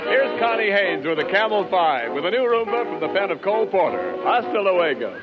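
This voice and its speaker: A man's voice: sits high, big pitch swings, brisk, phone-quality sound, over loud music. A man announcing a musical number in the style of 1940s radio, on an old recording.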